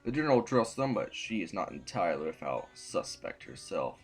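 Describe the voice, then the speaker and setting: An actor playing a formal, ruthless and weary council sovereign in an audio drama, speaking in deliberate official phrases. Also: male voice, low-pitched, big pitch swings, husky, soft background music, full character voice. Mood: brisk, commanding.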